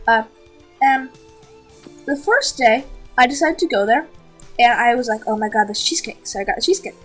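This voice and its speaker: A woman with a high, somewhat husky voice tells casual stories as a show host. She is excitable, repeats herself for emphasis and acts out what people said. Faint music plays behind her. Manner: excitable, repetitive storytelling with acted-out quotes. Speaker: host of a story-time show. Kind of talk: casual storytelling chat.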